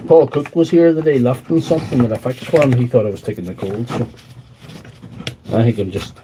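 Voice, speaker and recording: A man's voice: medium pitch, brisk. Wheezy guy rambling to his stream while fixing a grinder, mid voice, noisy workshop with clicks. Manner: rambling, chatty, talks to viewers while working. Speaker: tool repair livestream host. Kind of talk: casual livestream chat while repairing. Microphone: mic on a stand close by.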